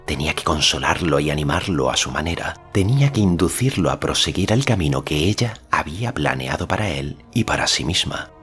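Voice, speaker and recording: A man's voice: very deep voice, fairly bright tone. A man narrating a fantasy audiobook in a scripted, dramatic literary storytelling style. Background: faint music.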